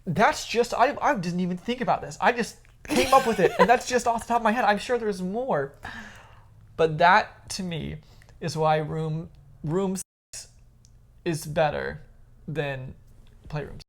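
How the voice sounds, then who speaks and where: A woman's voice: on the low side, unhurried, long pauses. A woman co-hosting a podcast, chatting casually and giving opinions in an emphatic, teasing way. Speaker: podcast co-host.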